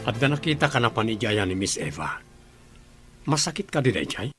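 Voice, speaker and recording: A man's voice: on the low side, very animated, fairly quick, music in the background. A man playing a worried father and boss who questions patiently, in a radio drama. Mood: concerned.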